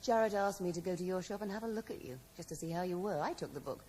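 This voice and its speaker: A woman's voice: fairly low pitch, quick pace, mellow, lightly acted. An actress playing a composed, ambitious female accomplice, clipped and defiant, in a 1980s radio drama. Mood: unapologetic. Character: cool, ambitious, unapologetic female accomplice hungry for power. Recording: noisy, hissy old radio broadcast recording.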